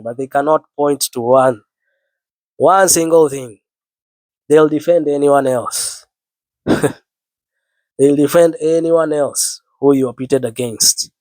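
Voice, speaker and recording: A man with the flu preaching earnestly to his viewers, his voice low and worn from illness, often repeating himself. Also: darkish tone, no echo. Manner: earnest, repeats words, biblical phrasing. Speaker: online preacher. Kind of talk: spoken sermon to camera.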